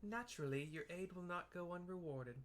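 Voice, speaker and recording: A man's voice: sits high, fairly quick, pitched above the performer's own voice, natural voice. A man voicing a formal, courtly young lady leader from a video game in an attempted British accent, now and then stumbling over the words. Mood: courteous, persuasive. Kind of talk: amateur read-aloud of game dialogue. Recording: clearly audible background noise.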